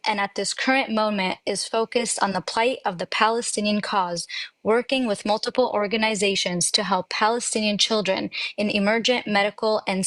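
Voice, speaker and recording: A woman's voice: medium pitch, a bit bright, dry recording, rough recording. A woman hosting a casual live panel stream, welcoming guests and reading introductions with frequent "um" fillers.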